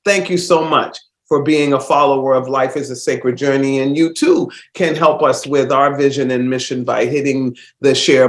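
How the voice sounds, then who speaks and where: Stuffy-nosed man hosting, echoey room. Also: medium pitch. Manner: rambling, warm-hearted, many fillers and restarts. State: stuffed up with a cold. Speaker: host. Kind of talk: casual solo podcast monologue.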